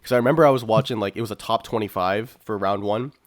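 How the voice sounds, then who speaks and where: A man with a fairly low voice gives casual commentary as a podcast co-host. His talk is informal and slangy, with the enthusiasm of a devoted fan. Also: fast talker.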